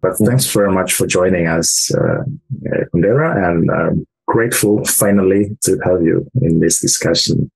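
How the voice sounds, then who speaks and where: A man hosting an interview and asking his guest questions in a halting way, with many fillers. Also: deep voice, creaky, darkish tone, slightly rough, poor audio. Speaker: interviewer host.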